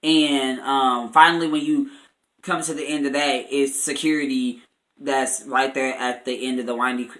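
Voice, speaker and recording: Bright-voiced young American man telling a story. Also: medium pitch, little pitch movement, normal speed. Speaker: vlogger. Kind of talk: casual storytime chat.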